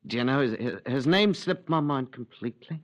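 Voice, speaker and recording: An actor playing an evasive former fire watcher under police questioning in a 1950s radio drama, hesitant and trailing off. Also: male voice, mid-range voice, expressive, fairly quick, natural voice.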